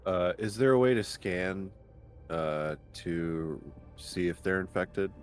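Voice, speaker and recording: Male tabletop player, deep voice, casual and wry with folksy turns, music underneath. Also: lively intonation, slow. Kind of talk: casual game table chat.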